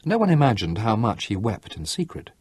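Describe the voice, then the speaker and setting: Male audiobook narrator, low-pitched, measured literary reading. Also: big pitch swings, darkish tone, husky, no echo.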